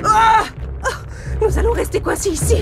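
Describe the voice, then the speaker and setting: A woman as a very high-pitched young fairy-tale princess. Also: moderate pace, vocal fry, music in the background. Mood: panicked.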